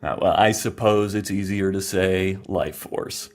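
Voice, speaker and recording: Pedantic, chatty, stammering scientist. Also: male voice, low-pitched, measured pace. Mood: absent-minded, rambling. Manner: pedantic, stammering, repeats himself. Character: eccentric, chatty, pedantic male scientist, eager to explain. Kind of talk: audio drama acting.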